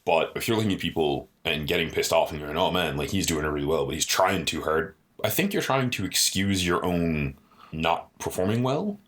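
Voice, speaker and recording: A man with a deep voice co-hosting a podcast in a studio, talking earnestly in casual chat and often adding "you know" and "right?". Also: animated, moderate pace, fairly bright tone. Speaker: podcast co-host.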